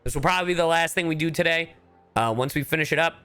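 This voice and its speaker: A man's voice: a little high, fast, pushed and bright, clear, resonant tone, soft background music. A man livestreaming a video game, giving casual, blunt and joking commentary as he plays. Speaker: livestreamer, professional voice actor. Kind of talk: casual gaming stream commentary.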